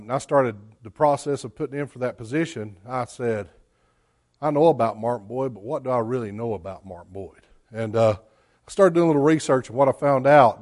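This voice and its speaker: A man, a care home's executive director, giving a plainspoken presentation to a church with many fillers and a loud voice. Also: medium pitch. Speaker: executive director of a care home, guest speaker.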